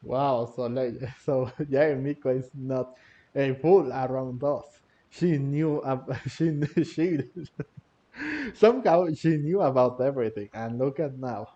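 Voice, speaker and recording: A man's voice: medium pitch, animated, slow pace, dark tone, dull audio. A man giving casual, giggly commentary as he plays a video game, sometimes reading dialogue aloud. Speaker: gamer commenting on a playthrough.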